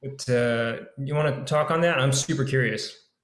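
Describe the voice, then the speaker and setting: A man's voice: medium pitch, moderate pace, a bit bright. A man asking questions casually as a panel participant, curious and informal, rewording as he goes. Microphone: video call. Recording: roomy echo on a video call.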